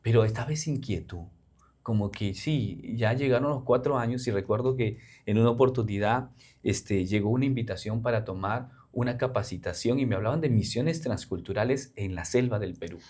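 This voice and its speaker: A man's voice: fairly low pitch, brisk, a little mellow, breathy edge. A man from Peru with a Peruvian Spanish accent, a missionary guest in an interview, explaining earnestly with frequent fillers. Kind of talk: interview conversation.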